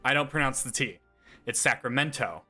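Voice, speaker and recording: Laid-back Californian man, bright voice. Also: mid-range voice, moderate pace, faint music behind. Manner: relaxed, many "like" and "um" fillers. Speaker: streamer. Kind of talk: casual chat while playing and reading game text aloud.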